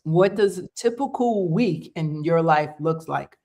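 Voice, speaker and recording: A woman hosting a casual interview, animated and quick to laugh, encouraging her guest. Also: sits low. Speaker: host.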